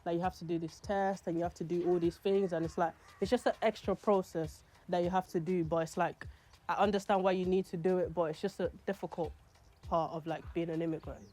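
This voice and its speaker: Young woman with a mellow voice. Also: on the low side, brisk. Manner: animated, acts out quoted speech. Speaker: documentary interviewee. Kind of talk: casual storytelling to camera.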